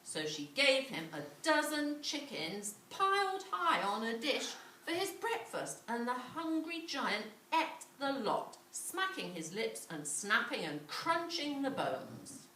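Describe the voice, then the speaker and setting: A woman reading a children's story as a storyteller, with dramatic, drawn-out emphasis. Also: high voice, big pitch swings, sharp tone. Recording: echoey room, distant mic, faint noise.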